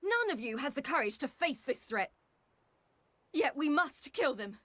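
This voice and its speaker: A woman's voice: sits high, very animated, unhurried, fairly bright tone, dry recording, heavily acted. A woman with a British accent playing a headstrong, fiery young warrior in a fantasy video game. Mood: angry, defiant. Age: young adult. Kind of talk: video game cutscene acting.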